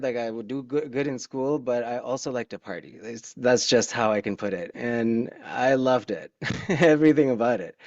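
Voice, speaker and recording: A middle-aged man from Pakistan telling his personal story candidly and informally, with halting pauses, on a video call. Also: medium pitch, a little mellow, no echo. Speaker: guest speaker. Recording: dull, dry video-call audio.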